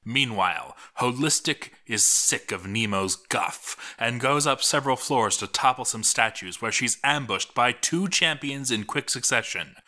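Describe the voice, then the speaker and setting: A man narrating a scripted story in a theatrical, measured way, lingering on his phrases. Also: mid-range voice, expressive, bright, forceful tone.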